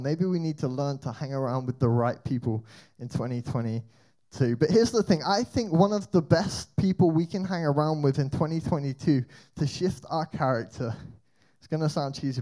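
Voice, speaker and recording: A young man giving a lively, conversational youth talk, telling stories and asking rhetorical questions. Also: medium pitch, moderate pace. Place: church hall with band.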